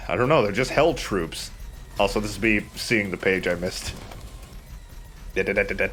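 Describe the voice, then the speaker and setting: A man's voice: on the low side, normal speed, a bit bright, slight background noise. A man giving casual gameplay commentary as a let's play host.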